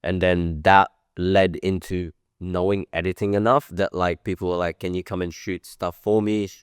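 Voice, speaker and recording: Low, smooth-voiced man in his thirties. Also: little pitch movement, normal speed, no echo, studio quality. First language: French. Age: 30s. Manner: chatty, rambling, many fillers. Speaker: guest, creative director. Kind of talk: casual interview.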